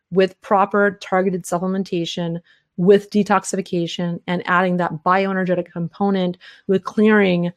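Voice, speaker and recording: A woman's voice: lowish voice, no echo, studio quality. Tired woman fielding health questions. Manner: talks fast in long run-on answers with many fillers. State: tired, losing her voice. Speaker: health educator hosting a webinar Q&A. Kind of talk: live Q&A, answering listener questions.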